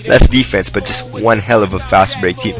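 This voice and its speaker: A young man with a hoarse voice giving rambling, upbeat commentary over his own gameplay. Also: lowish voice, steady tone, moderate pace, music in the background. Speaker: gaming video host. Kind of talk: casual game commentary. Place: indoors, hot room.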